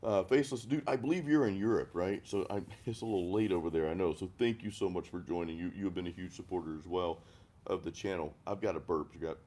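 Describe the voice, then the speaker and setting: A middle-aged man from the American Deep South hosting a casual music chat, rambling and self-deprecating with frequent restarts. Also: fast, mellow. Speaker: host of a music chat stream. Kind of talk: casual chat over beers, reading live comments.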